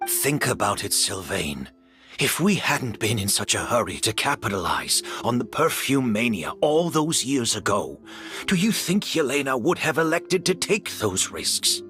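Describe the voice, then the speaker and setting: A man's voice: mid-range voice, very animated, a bit bright, faint music behind. An actor playing a remorseful middle-aged man who blames himself. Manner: measured, regretful. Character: remorseful middle-aged male perfume dealer.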